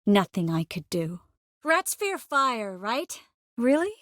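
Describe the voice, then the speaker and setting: An actress playing a young sorceress in a fantasy video game, caring, wry and passionate, with an expressive, clearly articulated, intimate delivery. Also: female voice, fairly high pitch, slow pace, dry recording, studio quality, heavily acted. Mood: measured, grave, then animated, urgent.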